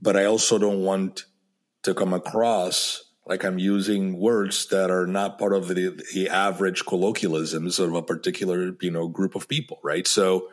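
A man's voice: deep voice, flat delivery, normal speed. A man hosting a podcast in a conversational interview, speaking English as a second language after Spanish, often repeating words as he searches for them. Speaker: podcast host.

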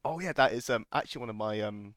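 Low-voiced man hosting a casual chat. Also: quick pace, no room sound, studio quality. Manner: relaxed, jokey, many fillers. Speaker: podcast host.

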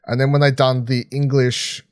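A man's voice: mid-range voice, little pitch movement, normal speed, a little mellow, no echo, studio quality. A man co-hosting a podcast, giving a casual, rambling review full of filler phrases like "sort of". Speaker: podcast co-host reviewing films.

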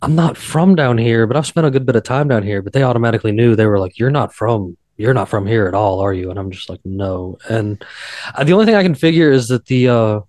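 A middle-aged man on a podcast who talks in long, meandering runs and lets his words slide together into a slow drawl. His voice sits at an ordinary pitch for a man. It is recorded close and dry, with no room sound. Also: lively intonation, darkish tone, no echo. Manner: rambling and drawn out, told he slurs. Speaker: podcast guest. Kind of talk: casual podcast chat.

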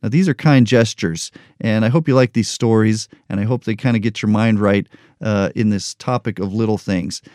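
A man's voice: on the low side, moderate pace, a little mellow, dry recording, clean studio sound. A man in his forties hosting a motivational podcast, talking candidly and unscripted with a joking touch. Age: 40s. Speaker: podcast host. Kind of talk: solo motivational podcast monologue.

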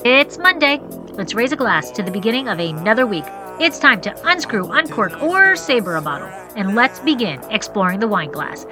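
A bubbly woman hosts a podcast and laughs easily. Her voice sits a bit high, with faint music behind her. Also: big pitch swings, normal speed. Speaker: podcast host and sommelier. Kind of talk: podcast intro and casual interview.

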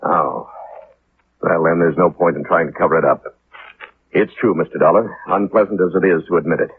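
An actor playing a pompous, eager-to-please city commissioner in a 1950s radio drama, formal, officious and emphatic. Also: male voice, sits low, fairly even intonation, fairly quick, slightly rough, heavily acted. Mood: emphatic, uneasy. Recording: tinny, noisy 1950s radio recording.

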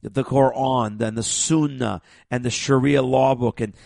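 Raspy man with a cold, gravelly. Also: mid-range voice, steady tone, unhurried, dry recording, clean studio sound. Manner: forceful, with heavy stressing and stammered restarts. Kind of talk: emphatic monologue to viewers.

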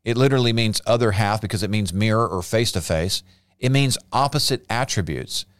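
Male Bible teacher, sermon delivery, clear resonant voice, emphatic. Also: lowish voice, a little fast, no echo. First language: not Hebrew. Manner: explains Hebrew words, emphatic bursts.

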